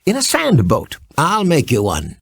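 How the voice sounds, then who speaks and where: A man voicing a funny, cheery little magical handyman in a children's storybook, brisk and businesslike. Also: a little high, wildly swooping pitch, gravelly, husky, dry recording, studio quality, pitched above the performer's own voice, exaggerated voice.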